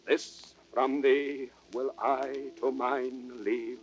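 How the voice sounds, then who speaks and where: An actor playing a solemn, grieving, proud young prince with stately, declamatory verse delivery in a classical Shakespearean radio drama. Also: male voice, medium pitch, little pitch movement, very slow. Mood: proud resolve. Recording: dull, band-limited old record sound.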